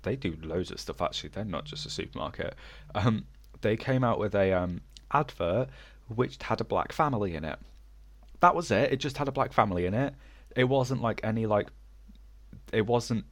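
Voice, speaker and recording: A man co-hosting a casual podcast, rambling with lots of fillers and giggles, still sniffly from a cold. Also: fairly low pitch. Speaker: podcast co-host. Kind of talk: casual chat.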